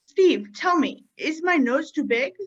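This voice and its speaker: A woman voicing a vain, easily wounded policeman in an animated comedy table read. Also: high-pitched, a little slow, dull audio. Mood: insecure.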